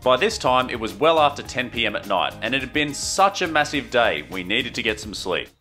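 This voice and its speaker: A man's voice: mid-range voice, big pitch swings, brisk, bright, forceful tone. A man narrating his travel vlog in an enthusiastic, storytelling voice-over. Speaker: travel vlogger. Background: faint guitar music.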